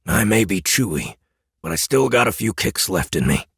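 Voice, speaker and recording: A man playing a gruff, wisecracking old man in a fantasy audiobook, with a folksy, grumbling, irreverent way of talking. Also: lowish voice, sing-song, normal speed, fairly bright tone, no echo, studio quality, exaggerated voice. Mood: defiant. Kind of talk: fantasy audiobook character acting.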